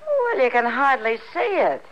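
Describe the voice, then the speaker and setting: Wry plain-talking western gal, pitch all over, dull hissy old radio recording. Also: female voice, huge pitch swings, normal speed. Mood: wry, chatty. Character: wry, plain-spoken frontier woman, friendly and worldly.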